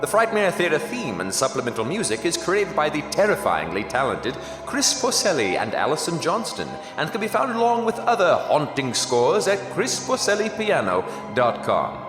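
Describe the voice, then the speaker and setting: Male actor as ominous horror radio announcer, grandiose, extremely animated, medium pitch, reverberant hall, background music. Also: normal speed, hardly any pauses. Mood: ominous formality. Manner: grandiose, theatrical. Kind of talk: scripted radio credits in horror-host style.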